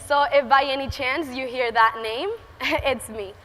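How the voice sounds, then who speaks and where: Eighteen-year-old woman, Venezuelan accent, high bright voice, nervous and giggly, reverberant hall. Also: fairly even intonation, measured pace. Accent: Venezuelan Spanish. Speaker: student entrepreneur speaker. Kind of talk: prepared conference talk. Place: large conference hall stage. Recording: reverberant hall with faint ambience.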